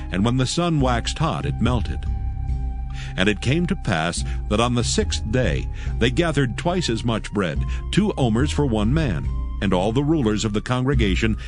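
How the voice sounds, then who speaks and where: A man narrating scripture from a script, as in a dramatized Bible read-along. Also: fairly low pitch.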